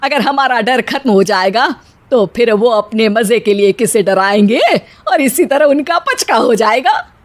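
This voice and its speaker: A woman's voice: on the high side, expressive, a little slow. A woman voicing a bossy, sarcastic but easily scared Indian mother-in-law in a cartoon story, with a scolding, exclamatory manner. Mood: determined. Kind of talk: Hindi cartoon story voice acting.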